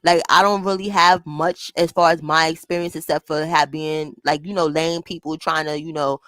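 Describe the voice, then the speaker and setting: A woman with a high voice hosting a casual chat, talking in a blunt, profane, animated way. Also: moderate pace, no room sound. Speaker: host.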